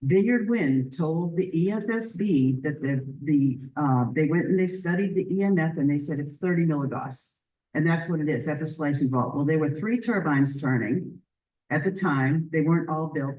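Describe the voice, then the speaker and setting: A woman leading a community group, giving an earnest, chatty presentation at a public meeting in a library, with a loud voice. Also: deep voice, moderate pace, distant mic, rough recording. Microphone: handheld or stand microphone.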